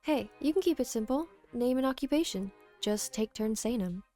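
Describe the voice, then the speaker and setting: Woman playing easygoing cop, game character, casual clipped phrases, takes charge, high for a man, music behind. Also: expressive, moderate pace, dark tone, lightly acted. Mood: breezy. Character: laid-back adult male policeman taking charge.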